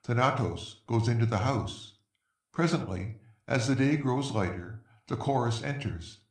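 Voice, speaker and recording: A man's voice: medium pitch, measured pace. A man serving as narrator, reading scripted stage directions. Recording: echoey room, distant from mic.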